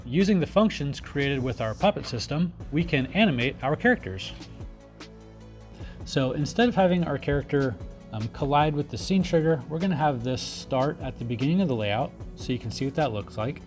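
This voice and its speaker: A man narrating a software tutorial, informally talking through each step as he works. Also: medium pitch. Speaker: tutorial instructor. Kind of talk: screen-recorded software tutorial, step-by-step explanation.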